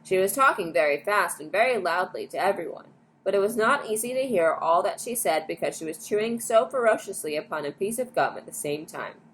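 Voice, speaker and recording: A woman's voice: medium pitch, lively intonation, brisk, fairly bright tone. Woman reading a bedtime story, noisy background. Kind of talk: bedtime story read aloud. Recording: clear background noise.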